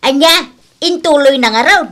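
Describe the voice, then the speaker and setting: A woman's voice: sits high, moderate pace, a bit bright. A woman playing an indignant village auntie who scolds and protests to guard her things, in a comedic radio drama.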